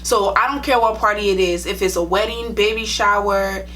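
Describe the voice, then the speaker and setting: A woman's voice: mid-range voice, moderate pace, fairly bright tone, a little hiss or hum, low-quality recording. A young woman with a Liberian accent, a nursing vlogger talking to her viewers in a chatty, direct, plain-spoken way. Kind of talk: casual storytime vlog.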